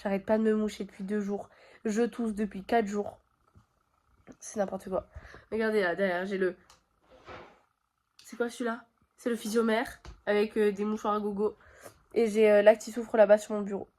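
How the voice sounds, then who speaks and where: A woman with a cold talks to her viewers from her bedroom, her nose stuffed up. Her voice is mellow and soft-edged, and she chats loosely, full of fillers and asides to the camera. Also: mid-range voice, little pitch movement, moderate pace, long pauses. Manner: chatty, talks straight to viewers with many fillers. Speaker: vlogger. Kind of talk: casual chat to camera. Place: bedroom at home.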